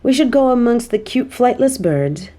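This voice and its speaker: A woman's voice: a little high, lively intonation, normal speed, dark tone, very echoey, natural voice. A woman voicing a haughty fallen angel in an audio drama, imperious and pompous with archaic formal diction, yet fond of her demon companion. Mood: brisk, imperious.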